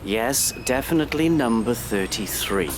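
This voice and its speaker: Man playing a grumpy, animated, snooty traveller. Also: medium pitch, moderate pace, background noise, natural voice. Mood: curt, wary. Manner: dry, curt, pedantic. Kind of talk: audio play comedy acting.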